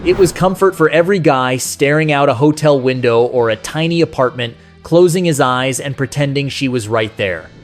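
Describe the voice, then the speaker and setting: A man's voice: medium pitch, moderate pace, hardly any pauses. A man narrating a scripted music countdown as its host, in a nostalgic, colloquial storytelling manner. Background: soft rock music behind the voice.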